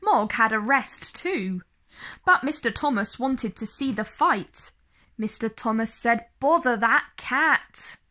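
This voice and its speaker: A woman reading a children's story aloud in an expressive way, phrasing each line clearly and deliberately for young listeners. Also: a little high. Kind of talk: slow, expressive picture-book read-aloud.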